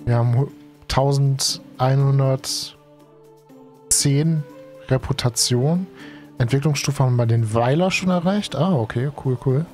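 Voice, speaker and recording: A man's voice: medium pitch, takes it slowly, a little mellow, faint music behind. A young man from Germany, a gaming streamer with a cold and tired, talking casually and slangily through a let's play with many fillers. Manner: chatty, slangy, thinks aloud with many fillers. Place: home streaming room. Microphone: headset.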